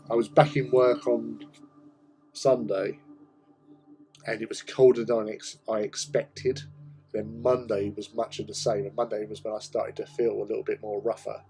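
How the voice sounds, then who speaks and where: A man with a hoarse, croaky voice from a cold, chatting casually and rambling with many pauses while hosting a hobby stream. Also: medium pitch, level tone, warm tone, faint music behind, rough recording. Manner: rambling, hesitant, many ums. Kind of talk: casual chat while painting.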